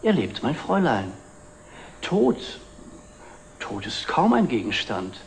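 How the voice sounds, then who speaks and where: A middle-aged actor playing a wry, wistful antique dealer, formal and dry, in a 1960s TV drama. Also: male voice, medium pitch, huge pitch swings, slow pace, creaky, heavily acted. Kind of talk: 1960s German television drama acting. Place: indoor antique shop set. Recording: noisy old TV film soundtrack.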